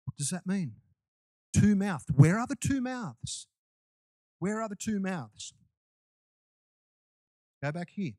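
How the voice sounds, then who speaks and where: A man's voice: high voice, sing-song, slow, pauses often, dark tone, dry recording. A man preaching a sermon to his congregation in a loose, conversational way, with restarts and asides. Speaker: pastor.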